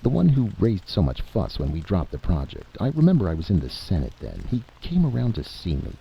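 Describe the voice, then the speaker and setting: A man's voice: low-pitched, wildly swooping pitch, brisk, creaky, slightly rough, heavily acted. A man voicing a troubled, incredulous president, questioning and hesitant, in a dramatic reading. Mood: troubled disbelief. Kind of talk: dramatic audiobook-style reading. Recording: dull lo-fi recording with noise.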